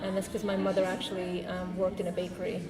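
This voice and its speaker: A woman's voice: on the low side, monotone, quick pace, a crowd in the background. An older woman from Portugal tells a thoughtful, wry story about her past in an interview. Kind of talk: reflective personal storytelling. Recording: echoey room with loud music over.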